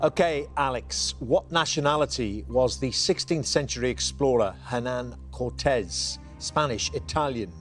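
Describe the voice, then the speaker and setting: A man's voice: mid-range voice, measured pace, hardly any pauses, music in the background. A man hosting a TV quiz show, chatty and teasing as he reads the questions. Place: TV studio.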